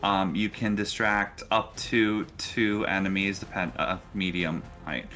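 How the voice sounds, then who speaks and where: A man with a lowish, bright and forward voice acts as game master for a tabletop game. He improvises as he narrates and walks players through turns and rules, with music playing faintly underneath. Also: fairly even intonation, measured pace.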